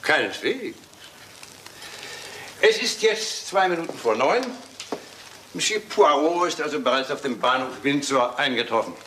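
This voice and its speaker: An actor playing a stern, commanding old English lord in a German radio crime play, speaking deliberately and with authority. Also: male voice, on the high side, expressive, sharp tone, a little hiss or hum. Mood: grave, controlled. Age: older.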